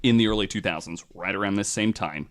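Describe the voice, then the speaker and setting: Low-pitched man, casual podcast storyteller. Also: fast talker. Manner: informal, swears casually. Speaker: podcast host.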